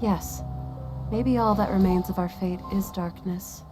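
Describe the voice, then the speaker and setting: Actress as a grave, reflective female mentor for a fantasy game, earnest and sorrowful, mid pitch, music underneath. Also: steady tone, unhurried, roomy sound, close to an everyday voice. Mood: earnest, resolute. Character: sorrowful, thoughtful woman mentor and researcher, now a soul. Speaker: voice actor. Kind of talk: dramatic game voice acting.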